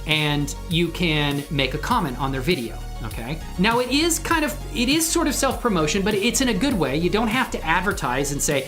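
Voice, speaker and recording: A man's voice: sits high, lively intonation, moderate pace, few pauses, fairly bright tone. A man hosting a casual livestream, talking in an informal, enthusiastic, rambling way. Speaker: livestream host and hobby 3D animator. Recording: music faintly behind the voice. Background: music.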